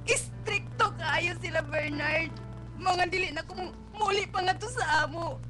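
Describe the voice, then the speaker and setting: A woman's voice: extremely high pitch, unhurried, noisy background. A woman playing a distraught, defiant young pregnant daughter in a melodramatic radio drama, pleading tearfully and emphatically. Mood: anguished, tearful. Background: dramatic music.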